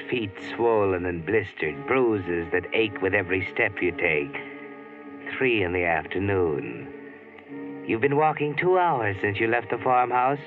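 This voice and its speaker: A man's voice: on the low side, phone-quality sound. A man narrating an old radio drama in the second person with tense urgency, on an old radio broadcast recording. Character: grim male narrator addressing a hunted woman.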